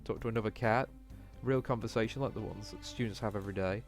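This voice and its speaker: A man's voice: on the low side, quick pace, faint music behind, lightly acted. A man voicing an anxious, self-doubting young cat student who talks fretfully to himself in a visual novel. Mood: wistful, nervous.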